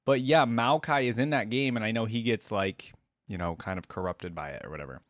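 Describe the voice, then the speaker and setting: A man's voice: lowish voice, brisk, dry recording, studio quality. A man giving informal reaction commentary as a streamer, with casual, appreciative asides. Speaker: streamer reacting to game voice lines.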